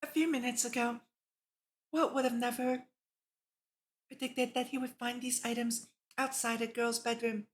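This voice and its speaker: A woman livestreamer reading a visual novel aloud and chatting casually, with a giggly, expressive manner. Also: on the high side, normal speed, long pauses. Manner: giggly, expressive, exclaims in reaction to the text. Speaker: VTuber streamer hosting a visual novel read-along.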